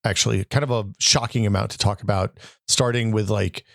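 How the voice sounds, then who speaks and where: A slightly hoarse man co-hosting a tech podcast, musing aloud in halting questions full of restarts. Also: fairly low pitch, steady tone, no echo, clean studio sound. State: a little hoarse. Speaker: podcast co-host. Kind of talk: casual tech chat.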